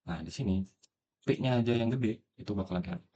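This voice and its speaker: A man's voice: medium pitch, a little fast, warm tone, muffled recording. A young man explaining programming step by step in a casual tutorial, thinking aloud with frequent fillers. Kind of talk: screen-recorded coding tutorial.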